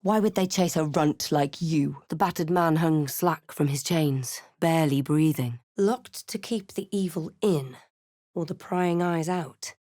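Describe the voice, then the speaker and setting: A woman voicing a composed, snarky adventurer and spellcaster in a fantasy video game, with a deliberate, dramatic delivery. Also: low-pitched, dark tone, no room sound, clean studio sound. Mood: solemn, controlled. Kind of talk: fantasy game voice lines and scripted narration.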